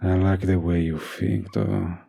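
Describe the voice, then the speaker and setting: A man playing a dominant young mafia boss boyfriend, menacing yet doting, with a gravelly, husky voice in a scripted romantic audio roleplay. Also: deep voice, flat delivery, a little slow, warm tone, exaggerated voice. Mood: calm, intimate. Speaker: voice actor.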